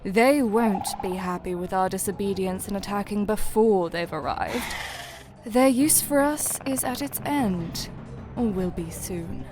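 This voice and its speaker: A woman playing a hard, imperious dark elf commander in a fantasy audio drama, speaking with dramatic authority. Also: mid-range voice, unhurried, close to an everyday voice. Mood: grim command. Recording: music behind the voice.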